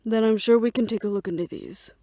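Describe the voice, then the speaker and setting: A woman acting a guarded, businesslike plotter in an audio roleplay drama, speaking in a measured, serious way. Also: fairly high pitch, warm tone, no room sound, clean studio sound, close to an everyday voice. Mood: measured, sincere.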